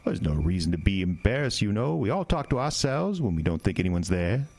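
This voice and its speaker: A man's voice: fairly low pitch, very animated, a little fast, vocal fry, darkish tone, heavily acted. An actor playing a sly, menacing stranger who corners a boy in the woods at night, with teasing, old-fashioned phrasing. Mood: sly menace. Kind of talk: horror audio drama.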